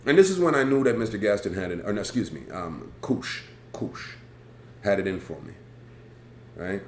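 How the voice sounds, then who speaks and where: A man with a big, booming voice, hosting a casual live stream and telling a rambling, animated story from his school days. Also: moderate pace. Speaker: host. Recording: echoey room with faint background noise.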